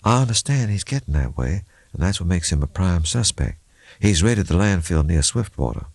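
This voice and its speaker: A man voicing a gentle female officer in an audiobook, careful and hesitant as someone bearing grim news. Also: low-pitched, sing-song, normal speed, gravelly, husky, dry recording, full character voice. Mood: earnest, uneasy. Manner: careful, hesitant, groping for words. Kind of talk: audiobook character acting.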